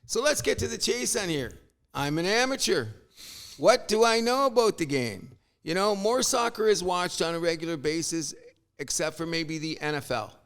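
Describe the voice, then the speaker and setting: Gravelly-voiced animated Canadian man. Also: high-pitched, moderate pace. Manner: animated, exasperated, swears casually. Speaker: sports podcast host. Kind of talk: opinionated sports rant.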